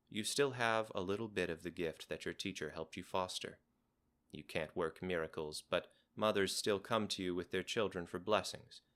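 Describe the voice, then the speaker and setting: A man's voice: deep voice, a bit bright. A man narrating a scripted fantasy game ending in a storybook reading style.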